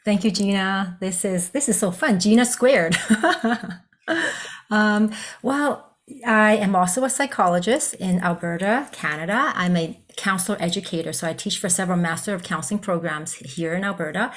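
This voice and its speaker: A woman's voice: mid-range voice, moderate pace. A woman whose first language is Cantonese, a psychologist and counselor educator, telling her personal story in an earnest, conversational way on a video call. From Vietnam. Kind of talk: virtual presentation, personal story.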